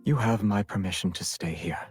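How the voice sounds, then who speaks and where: A man plays a cool young king in a romance game, his voice low and measured. He is aloof and guarded with a teasing edge, and music plays behind him. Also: darkish tone, lightly acted. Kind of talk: otome game voice acting.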